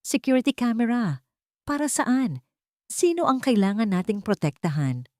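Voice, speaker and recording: A woman's voice: a little high, very animated, normal speed, a little mellow, dry recording, clean studio sound, voice pushed up. A woman voicing a poor young mother in a radio drama, questioning and emotional, suspicious and defiant. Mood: puzzled. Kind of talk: Filipino radio drama acting.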